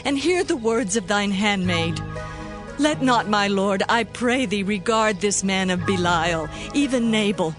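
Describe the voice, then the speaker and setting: Humble biblical wife, earnest supplication, slow pace, higher-pitched, devout, music underneath. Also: female voice. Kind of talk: dramatized audio Bible acting in archaic English.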